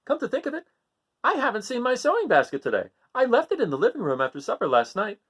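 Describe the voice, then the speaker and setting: A man's voice: very high-pitched, wildly swooping pitch, fast talker, a bit bright, slightly rough, pitched above the performer's own voice, exaggerated voice. A man voicing a caring but firm mother goat in a picture-book read-aloud. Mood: gently firm.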